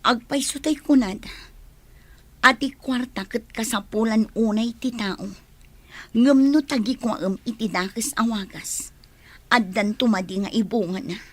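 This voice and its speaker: Woman acting female crook, resigned, regretful, fairly high voice, Ilocano accent, radio drama. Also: unhurried.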